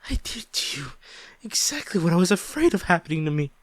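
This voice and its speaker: A man's voice: high-pitched, sing-song, no room sound. Remorseful ex-boyfriend, acted by a man, dry close sound. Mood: anguished, tearful apology. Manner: halting, emotional, sighing, repeats himself. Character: remorseful ex-boyfriend, guilt-ridden, pleading for forgiveness. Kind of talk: intimate audio roleplay acting.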